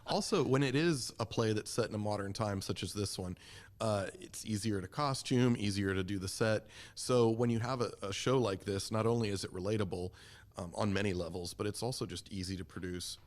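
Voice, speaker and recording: A man with a fairly low voice chats as an interview guest. He talks in a casual, conversational way, sounding keen and encouraging about what he does. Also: fairly quick. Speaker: community theater representative, podcast guest.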